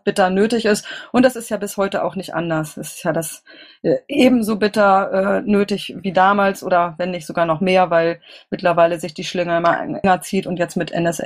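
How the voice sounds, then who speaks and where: A middle-aged German woman answering interview questions conversationally, in a halting, rambling way with self-corrections. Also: mid-range voice. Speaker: interviewee, political candidate.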